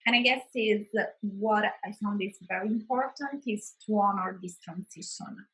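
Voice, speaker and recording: A woman's voice: mid-range voice, moderate pace, a bit bright, poor audio. Woman with an Italian accent. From Italy. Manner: thoughtful, many restarts and fillers. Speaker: wellbeing consultant guest. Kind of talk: conversational interview.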